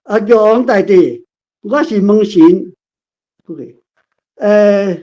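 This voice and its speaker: A woman's voice: mid-range voice, expressive, slow pace, mellow. A woman with a Taiwanese accent reading a script aloud hesitantly, sounding out words piece by piece over an online call. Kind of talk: halting read-aloud. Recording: dull lo-fi online call audio.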